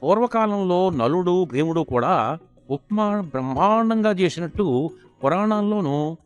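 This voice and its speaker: An earnest wedding priest phoning in to a radio show, acted by a man in a comedy audio story. The voice sits well above an ordinary male pitch, swinging as it draws words out with avuncular pomposity. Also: lively intonation, a little slow, smooth. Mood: pompous, pleased.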